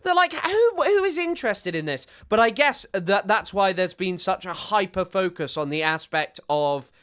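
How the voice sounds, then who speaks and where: Sarcastic man, bright voice. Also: sits high, animated, normal speed, smooth. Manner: sarcastic, rambling, with frequent restarts. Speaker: streamer reacting to a clip. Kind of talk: casual commentary.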